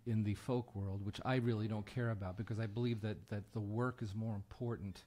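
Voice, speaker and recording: A man's voice: lowish voice, fairly even intonation, brisk, dark tone. A man, a guest folk musician, talking casually and earnestly in a plain-spoken way as he introduces a song. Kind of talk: casual talk introducing a song at a live show.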